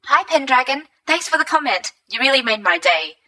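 A woman's voice: sits high, very animated, brisk, sharp tone, heavily acted. A woman reading a fan fiction story aloud as an upbeat narrator. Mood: cheerful.

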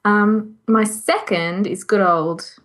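A woman's voice: medium pitch, steady tone, slow pace. A woman co-hosting a podcast, casually sharing recommendations in a giggly, self-amused way. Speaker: podcast co-host. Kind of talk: casual chat, giving recommendations.

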